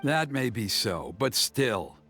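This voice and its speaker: A man voicing a courteous, earnest village elder in a video game, speaking in a formal, measured, insistent manner. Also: mid-range voice, big pitch swings, music in the background. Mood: worried, insistent. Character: earnest, courteous male village elder, anxious for his people. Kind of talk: video game character acting.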